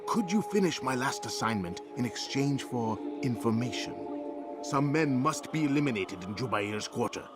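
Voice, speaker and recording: A man's voice: mid-range voice, expressive, normal speed, lightly acted. An actor playing a friendly male informant in a video game, earnest and polite. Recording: game music behind.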